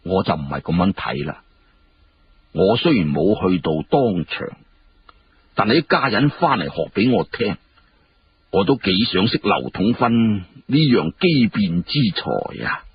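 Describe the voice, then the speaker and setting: A man's voice: low-pitched, sing-song, a little slow, exaggerated voice. A man voicing an aged, tactful court minister who weighs each phrase in Cantonese storytelling. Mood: calm, approving. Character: aged senior minister, measured, tactful, deferential to the emperor. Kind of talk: Cantonese historical storytelling dialogue. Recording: muffled, clearly noisy radio-like recording.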